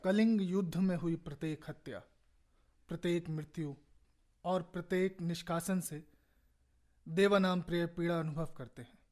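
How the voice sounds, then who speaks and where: An actor playing an obedient royal scribe who reads out edicts in a formal, declamatory way for a radio play. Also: male voice, fairly high pitch, fairly even intonation, normal speed, long pauses, darkish tone, lightly acted. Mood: solemn, dutiful. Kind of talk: Hindi radio drama acting.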